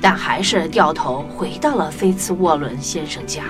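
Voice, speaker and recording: A woman's voice: deep voice, normal speed, over music. A woman narrating a scripted children's story, expressively addressing young listeners.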